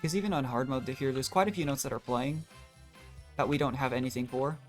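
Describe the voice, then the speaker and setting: A man's voice: medium pitch, brisk. A young man giving casual, rambling live commentary as a game streamer. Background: game music.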